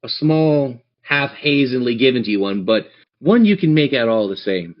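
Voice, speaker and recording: A man's voice: medium pitch, expressive, moderate pace. A man working as game master, improvising narration for a tabletop game over slightly muffled audio.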